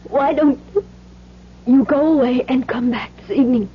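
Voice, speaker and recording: A cornered wife and mother in a vintage radio thriller. Her voice is very high and breathy, nervous and hesitant, with the dulled sound of an old broadcast. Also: fairly even intonation, moderate pace. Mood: frightened, hesitant. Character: frightened, cornered wife and mother, anxious to placate. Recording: dull vintage radio recording.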